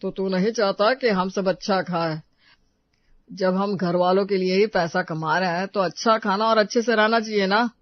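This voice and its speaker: A man playing disgruntled, fussy men of a household in an animated family story. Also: high-pitched, normal speed, dry recording, lo-fi sound, close to an everyday voice. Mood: reasoning. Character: disgruntled husband and fussy elderly father-in-law of a household.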